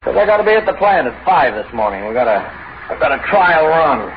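Plain-spoken, querulous husband, very animated pitch, vintage radio drama, muffled recording. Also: male voice, brisk, slight background noise. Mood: puzzled, mildly irritated. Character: unsuspecting working-man husband and father, mildly irritable. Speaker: radio actor. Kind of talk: 1940s radio drama acting.

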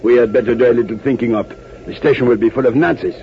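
An actor playing a grave, practical young resistance fighter, serious and measured, in 1940s radio drama style. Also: male voice, medium pitch, fairly even intonation, lightly acted. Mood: concerned. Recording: noisy, muffled 1940s radio recording.

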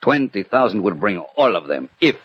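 A man playing a cool, methodical French detective who narrates and reasons deliberately in a 1960 radio mystery. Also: lowish voice, very animated, slightly rough. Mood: coolly dismissive. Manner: measured, deliberate, analytical. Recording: muffled old radio recording.

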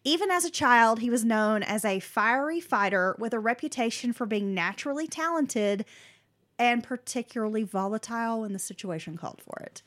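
A woman with a slightly high voice reads a prepared history story for a podcast. She breaks off into animated, slangy asides between the scripted parts. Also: normal speed. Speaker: podcast co-host telling the story.

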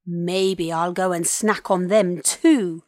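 A woman voicing a huge, wicked male dinosaur in a children's storybook, a loud bully with big theatrical delivery. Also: lowish voice, fairly even intonation, slow, dry recording, studio quality. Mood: gloating menace. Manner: loud, menacing, gloating.

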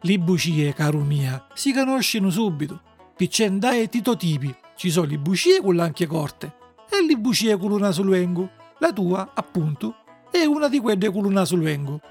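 Un uomo che fa una fata calma, voce alta. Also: male voice, sits high, moderate pace, breathy edge, faint music behind, full character voice. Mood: scolding. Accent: southern Italian. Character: calm, stern but loving female fairy.

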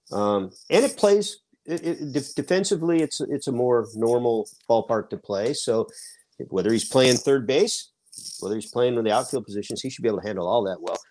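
A middle-aged man talks baseball on a podcast panel, pressing his points with emphasis. He sits in the middle of the male range in pitch. He is from Seattle. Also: darkish tone. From Seattle, USA. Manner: emphatic, argues a point at length. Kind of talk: sports talk podcast discussion.

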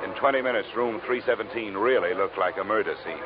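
An actor playing a tough, skeptical insurance investigator in an old radio detective drama, with dry, clipped, world-weary delivery. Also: male voice, lowish voice, fast talker, a crowd in the background, close to an everyday voice. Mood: wry narration. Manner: hard-boiled, dry, clipped. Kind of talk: 1940s-50s radio detective drama acting. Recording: dull, noisy old radio recording.

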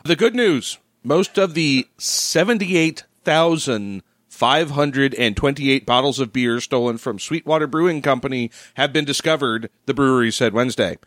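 A man with a Midwestern American accent on a casual podcast, reading a news item in a dry, steady way. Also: mid-range voice, normal speed, dry recording.

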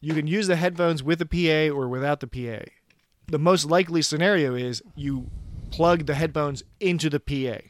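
A man's voice: on the high side, normal speed, dry recording, clean studio sound. A man in casual conversation, explaining things in an informal way. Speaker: band member explaining audio setup. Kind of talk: casual chat.

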